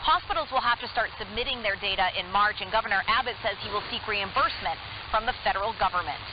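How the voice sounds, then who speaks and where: A woman's voice: medium pitch, fast. A woman correspondent giving a scripted news report over shortwave radio. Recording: muffled shortwave radio with clear noise.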